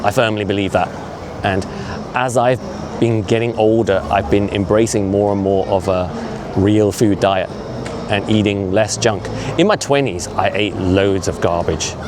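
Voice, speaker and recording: A man in his fifties speaks in a low voice, earnest and opinionated, with a chatty vlog manner. He is walking outdoors on a city street. Traffic and street noise come through clearly behind him. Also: steady tone, a little slow. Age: 50s. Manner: opinionated, earnest, conversational with frequent asides. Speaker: vlogger. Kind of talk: casual walking vlog monologue. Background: traffic and urban street noise.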